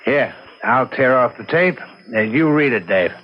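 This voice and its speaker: A man's voice: mid-range voice, lively intonation, measured pace, over loud music, close to an everyday voice. An actor playing a skeptical, dry older father and war veteran in an old-time radio drama, on a muffled recording. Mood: challenging. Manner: dry, dismissive.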